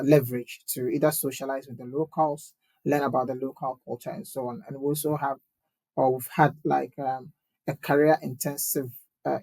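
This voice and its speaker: A man in his thirties from Ghana speaks in a steady, flat tone with a gravelly edge, in a dry room with no echo. He is a candidate answering interview questions, measured and full of pauses and restarts. His delivery stays close to one level throughout. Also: medium pitch. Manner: measured, with many fillers and repeated words. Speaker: guest, municipal election candidate.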